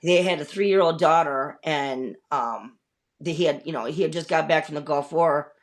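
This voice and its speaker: A woman's voice: low-pitched, normal speed. A woman in her fifties hosting a casual chat, telling stories candidly, swearing often and rambling with many fillers. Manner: candid storytelling with frequent swearing. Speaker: host.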